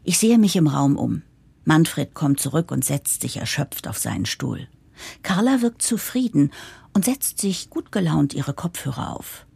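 Young project leader: earnest first-person narrator, low, creaky, confiding, hopeful. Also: female voice, very animated, measured pace, mellow, no room sound, heavily acted. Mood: tense, hopeful. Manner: confiding, breathy inner monologue.

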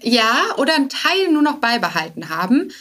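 A woman's voice: high voice, sing-song, normal speed, a bit bright. A woman speaking conversationally as a founder being interviewed on a podcast, dropping English business terms into her talk. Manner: mixes English business jargon into speech.

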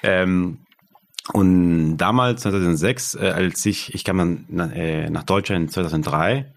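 A man, a guest talking about founding his yarn business, halting as he searches for German words. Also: deep voice, creaky. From Argentina. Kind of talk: casual interview.

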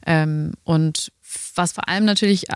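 A woman's voice: low voice, a little slow, clean tone, no room sound, studio quality. A woman who manages media partnerships, answering interview questions in a friendly way with frequent fillers. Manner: friendly, fills with "genau" and "ähm".

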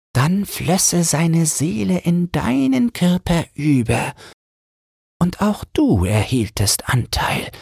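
A man voicing a wise, resigned old mermaid grandmother in a thin, breathy falsetto, slow and instructive, for a fairy-tale audiobook. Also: dry recording, studio quality, full character voice. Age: older.

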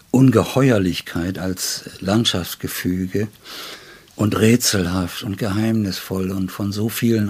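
A man in his seventies with a low, distinctly husky voice talks about literature as a guest. He searches for his phrases with care and lands on ornate, considered words. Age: 70s. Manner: deliberate, halting phrasing with ornate word choices. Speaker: interview guest, poet. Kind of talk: reflective literary conversation.

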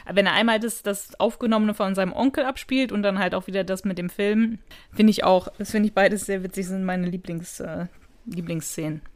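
A woman co-hosting a podcast in casual conversation, chatty and colloquial, often giggly as she talks. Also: medium pitch. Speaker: podcast co-host. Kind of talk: casual chat and plot retelling.

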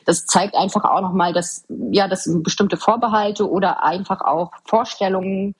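A woman from eastern Germany with a husky voice, answering interview questions in an earnest, articulate and emphatic way. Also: medium pitch, fairly even intonation, normal speed, low-quality recording. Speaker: podcast guest, government official. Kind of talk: interview answers, explanatory conversation.

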